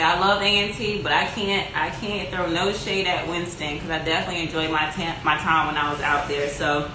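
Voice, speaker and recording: A Southern woman in her twenties from North Carolina, chatting casually and upbeat as she answers her viewers' questions. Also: lowish voice. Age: 20s. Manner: chatty, upbeat, talks straight to viewers with lots of fillers. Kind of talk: casual livestream-style Q&A. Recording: echoey room with noticeable background noise.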